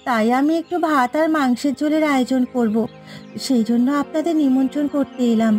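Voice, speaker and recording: A woman's voice: sits high, a little fast, darkish tone, soft background music, close to an everyday voice. A woman voicing an earnest young village mother in a cartoon, polite and pleading.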